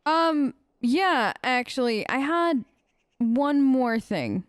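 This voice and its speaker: Girl doing a gaming roleplay, kinda high voice, wry n hesitant, super dry mic. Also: animated, slow pace, dry recording. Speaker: role-play let's player. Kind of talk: improvised in-character game role play.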